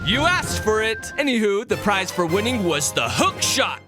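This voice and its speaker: A high-pitched male gaming host reads a scripted, comedic playthrough. He is theatrical and jokey, breaking into exclamatory asides, with music clearly playing behind him. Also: big pitch swings, normal speed. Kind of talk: scripted comedic gameplay narration.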